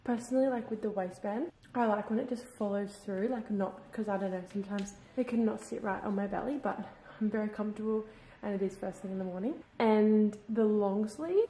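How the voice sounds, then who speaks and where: A woman's voice: mid-range voice, warm tone. Chatty woman in an echoey room. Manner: chatty, gushing, lots of "like". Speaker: vlogger. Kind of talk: casual vlog chat. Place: home gym and kitchen, indoors. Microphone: handheld camera at arm's length. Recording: echoey room with faint noise.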